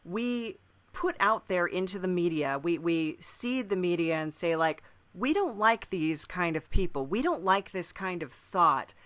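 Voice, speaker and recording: Bright-voiced woman in podcast conversation. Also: lowish voice, animated, unhurried. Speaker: podcast speaker.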